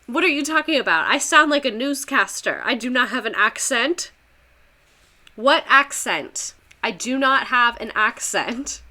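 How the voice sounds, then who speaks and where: A woman's voice: a little high, expressive, measured pace, bright, forceful tone. A young woman teacher with a Southern US accent, giving a rambling, chatty history lesson on a livestream, full of fillers and laughs.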